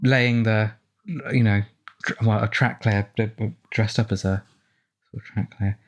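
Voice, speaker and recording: A man's voice: on the low side, fairly even intonation, a little slow. Man in rambling, casual film commentary. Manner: hesitant, rambling, self-interrupting. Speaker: author and film commentary guest.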